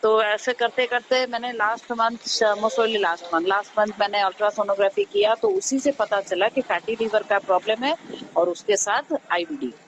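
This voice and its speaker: An Indian-accented woman with a medium-pitched voice explains things as a caller seeking advice. She is earnest and conversational, repeating words for emphasis, over a phone recording with slight background noise. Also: lively intonation, moderate pace, lo-fi sound. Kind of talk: casual chat, recounting symptoms.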